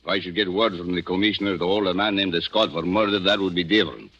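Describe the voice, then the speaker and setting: An actor playing a wry Cuban police inspector with a Spanish accent and dry, deliberate manners in a 1940s radio drama. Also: male voice, sits low, fairly bright tone, husky. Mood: dry, evasive. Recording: dull old-time radio recording.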